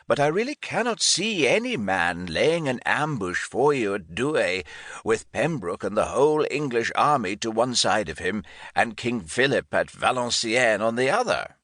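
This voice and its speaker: A man's voice: medium pitch, very animated, normal speed, a bit bright, no echo, studio quality, voice pushed up. Man acting an irascible, imperious old English lord. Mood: brusque, insistent. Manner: imperious, emphatic, formal. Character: irascible grey-bearded English lord and military commander.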